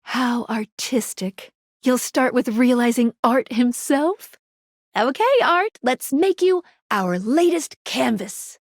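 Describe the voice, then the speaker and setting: An actress playing a grand, earnest woman in a video game, speaking in a dramatic, deliberate way. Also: a little high, no echo, studio quality. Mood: solemn, intent. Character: grand, earnest woman who remakes living objects as human. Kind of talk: theatrical game character acting.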